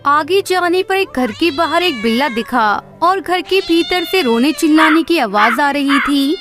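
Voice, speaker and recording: High-pitched woman narrating a children's story. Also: animated, unhurried, over music.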